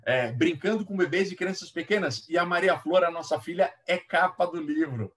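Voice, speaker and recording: A Brazilian man talking casually as an interview guest and author, in a halting manner that repeats words. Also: high voice, fairly bright tone. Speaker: guest author and play educator. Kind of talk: casual explanatory interview talk. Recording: voice somewhat far from mic.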